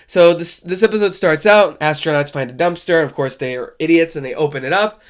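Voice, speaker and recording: A man's voice: on the high side, fairly quick. A man with a stuffy, congested voice from a cold, hosting a podcast and chatting casually and wryly as he reviews a show. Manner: wry, conversational, loose and rambling. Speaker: podcast host. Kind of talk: casual solo film review chat.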